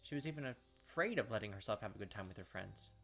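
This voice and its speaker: A man's voice: on the low side, lively intonation, quick pace, gravelly, fairly bright tone, faint music behind. A young man hosting a gaming video, reading story text aloud with casual, filler-heavy asides.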